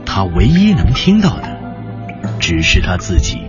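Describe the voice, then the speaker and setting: A man narrating a scripted story with deliberate, dramatic phrasing, as in a radio drama. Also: low-pitched, huge pitch swings, highs cut off. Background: music.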